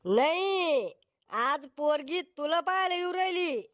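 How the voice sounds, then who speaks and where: A man's voice: extremely high pitch, lively intonation, slow pace, fairly bright tone, dry recording, exaggerated voice. A man voicing an exasperated, sarcastic middle-aged father in a comedy cartoon, with a scolding manner. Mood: bossy. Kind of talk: Marathi comedy cartoon acting.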